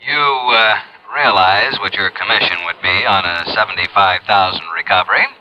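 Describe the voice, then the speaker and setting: An actor playing an exasperated, coaxing insurance company boss in a businesslike, cajoling manner, in the style of 1950s radio drama. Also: male voice, lowish voice, normal speed, lightly acted. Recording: dull vintage radio recording.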